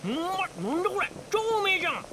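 A lazy, playful young coward of a warrior, played by a male actor, boyish and very high in pitch. The delivery is bright and swings widely, slangy, breezy and wheedling in comic radio drama style. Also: big pitch swings, very fast, slight background noise, heavily acted.

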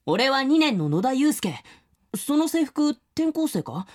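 A woman voicing an earnest, skeptical middle-school boy in an audio drama, acting his part and narrating his story with a husky voice. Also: sits high, big pitch swings, slow, heavily acted. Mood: incredulous.

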